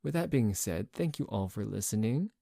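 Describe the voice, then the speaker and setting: A man's voice: lowish voice, animated, normal speed, gravelly, mellow, no room sound, studio quality. A man who hosts an audio channel, chatting casually and informally to his listeners in a lightly self-mocking way. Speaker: voice actor, channel host. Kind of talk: casual outro chat to listeners.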